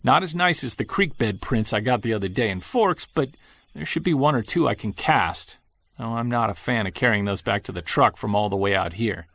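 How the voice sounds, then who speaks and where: An actor playing an earnest, excitable Bigfoot researcher, musing to himself as he records a field log in an audio drama. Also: on the low side, gravelly, a little hiss or hum. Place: outdoors in wilderness sound setting, handheld recorder.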